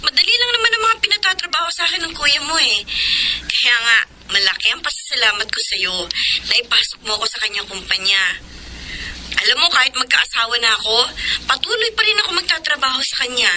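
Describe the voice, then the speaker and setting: A sweet, trusting young secretary. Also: female voice, high-pitched, sing-song, fairly quick, noisy background. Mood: earnest. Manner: earnest, sweet. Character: young woman, engaged secretary, trusting and grateful. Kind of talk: classic radio drama acting.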